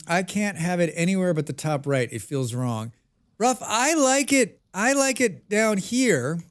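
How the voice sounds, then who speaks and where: A man's voice: high voice, expressive, a little slow. Chatty American man streaming a game. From United States. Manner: chatty, talks to chat and answers comments. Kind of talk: casual live-stream chat while gaming.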